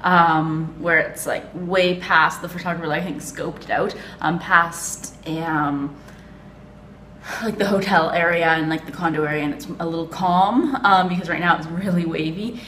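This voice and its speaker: A woman's voice: fairly low pitch, steady tone, normal speed, fairly bright tone, a little hiss or hum. Chatty young woman rambling to her camera. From Canada. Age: young adult. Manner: rambling, upbeat, lots of "like" and "um". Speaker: travel vlogger. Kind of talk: casual chatty vlog. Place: hotel room, indoors. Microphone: phone camera held at arm's length.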